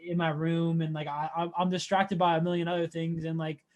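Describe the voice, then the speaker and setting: A man's voice: fairly high pitch, little pitch movement, a little fast, darkish tone. A young man interviewing in a casual, hesitant, slangy way. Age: young adult. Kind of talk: casual chat.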